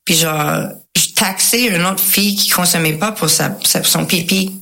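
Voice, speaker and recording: Gravelly, bright-voiced anglophone woman, French. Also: fairly low pitch, unhurried. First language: English. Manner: slangy, mixes English words into French, searches for words. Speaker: guest sharing a recovery testimony. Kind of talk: casual personal storytelling.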